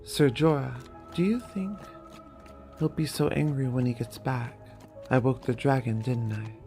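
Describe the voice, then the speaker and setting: A man voicing a gentle but newly commanding young queen, measured and earnest, in a dramatic fantasy reading. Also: mid-range voice, soft background music, lightly acted. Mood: uneasy.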